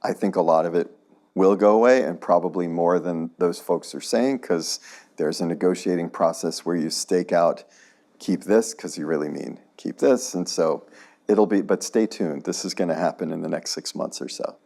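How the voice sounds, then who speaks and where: A man on a university panel, explaining politics in a deliberate, measured way and hedging his predictions. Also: sits low, mellow. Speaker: law professor, panelist. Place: lecture hall with audience.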